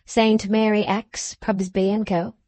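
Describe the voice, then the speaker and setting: Sulky young moneyman, played by a female narrator: flat, grudging, dry. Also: medium pitch, measured pace, no echo, close to an everyday voice.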